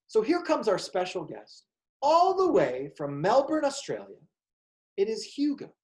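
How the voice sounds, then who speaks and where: A man hosting a psychology show for kids, speaking in a slow, calm, encouraging way. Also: sits high. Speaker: show host. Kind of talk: gentle talk to children.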